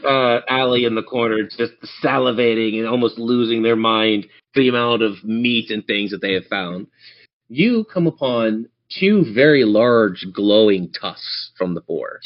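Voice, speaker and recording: A man's voice: lowish voice, a little slow. Male game master, off-the-cuff tabletop storytelling, slightly dull recording. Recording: dull lo-fi band-limited audio.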